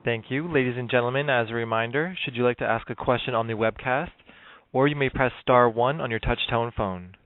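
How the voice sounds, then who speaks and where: A man working as conference call operator, reading scripted announcements in a formal, measured way. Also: medium pitch, dry recording. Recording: dry, thin phone line.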